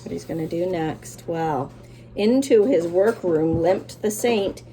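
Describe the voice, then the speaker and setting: A woman's voice: on the low side, slow, warm tone, slight background noise, rough recording. A woman teacher doing a children's read-aloud, with simple, encouraging explanations for young listeners.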